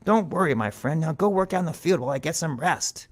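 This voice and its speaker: A sly, wheedling little mouse from a children's folktale, acted out by a man. The voice is pitched well up and very lively, boastful yet quick to panic. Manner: wheedling, stammering when cornered. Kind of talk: animal folktale storytelling.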